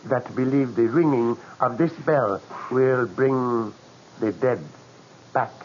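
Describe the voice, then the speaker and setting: A man acting as a grave curio seller in a vintage radio mystery. He speaks very slowly in a medium-pitched voice, solemn and superstitious, with halting, formal phrasing. The old recording is dull and noisy. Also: darkish tone, natural voice. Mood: solemn, ominous. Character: grave, superstitious male curio seller guarding an ominous legend.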